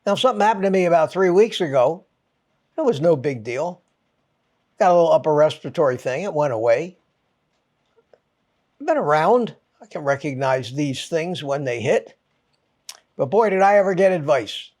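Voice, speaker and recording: A man's voice: a little high, lively intonation, normal speed, long pauses, a little mellow. A man hosting his own channel, ranting to viewers in short, punchy, exasperated phrases and swearing for emphasis. He has a mild head cold. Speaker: channel host.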